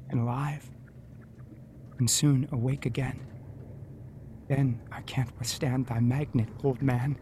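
A dutiful whaling first mate in torment, mid-pitched and agonizing over every thought. It is audio drama soliloquy, with faint ship ambience behind him. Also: male voice, a little mellow, slight background noise. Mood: anguished, hesitant.

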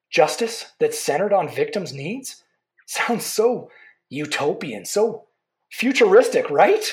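Impassioned safety campaigner with wildly swinging pitch. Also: female voice. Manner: emphatic, repetitive, rhetorical. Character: impassioned political campaigner for safety, zealous and insistent. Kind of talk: fiction podcast drama, talk show debate.

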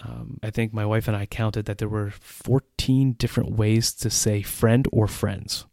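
A man's voice: lowish voice, little pitch movement, unhurried, gravelly, a little mellow, no room sound, clean studio sound. A middle-aged man hosting a podcast, telling personal stories in an easygoing, self-deprecating way with frequent chuckles. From English-speaking country. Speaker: podcast host. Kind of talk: personal storytelling monologue.

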